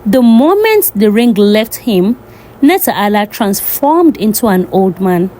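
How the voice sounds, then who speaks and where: A woman's voice: mid-range voice, big pitch swings, slow pace, warm tone. A woman storyteller reading a scripted folktale narration.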